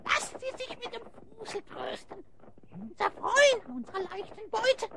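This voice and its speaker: An actor voicing a suave hyena spy who leads thieves in an audio drama, speaking floridly and pompously. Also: male voice, very high voice, big pitch swings, unhurried, creaky, heavy background noise, heavily acted. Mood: smug, pompous. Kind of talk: German audio drama acting.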